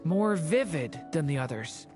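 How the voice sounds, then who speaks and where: A young man voicing a gentle, sincere dragon boy in a video game, earnest and soft-spoken. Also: a little high, animated, a little slow, over music. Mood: thoughtful. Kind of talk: animated video game voice acting.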